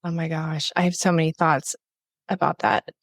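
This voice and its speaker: A woman's voice: low voice, steady tone, normal speed, dry recording, clean studio sound. A woman hosting a podcast, interviewing a guest in a conversational way.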